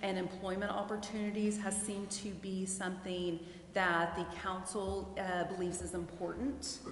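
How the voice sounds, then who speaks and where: A woman giving a careful, deliberate and formal explanation as a legal advisor at a public council meeting. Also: mid-range voice, level tone. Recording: echoey hall, clear background noise.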